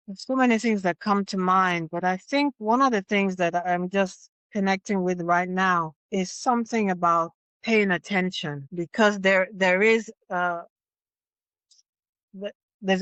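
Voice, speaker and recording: A woman's voice: fairly low pitch, a bit bright, dry recording. Older woman speaking reflectively, dry close sound. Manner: contemplative, with repetition for emphasis. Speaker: interview guest, elder and spiritual healer. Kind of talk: reflective interview conversation.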